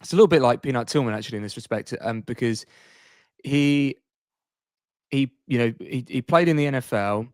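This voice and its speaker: A man with a mid-pitched voice talks as a sports podcast co-host, recorded very dry with no room sound. He keeps it casual and conversational, like informal chat between colleagues. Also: a little fast, dry recording.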